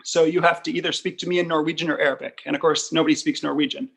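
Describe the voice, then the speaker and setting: A man's voice: a little high, fairly even intonation, very fast, gravelly, a bit bright. An American man telling personal stories conversationally, candid and given to jokey asides. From United States. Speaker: embassy spokesman and former Arabic teacher, guest.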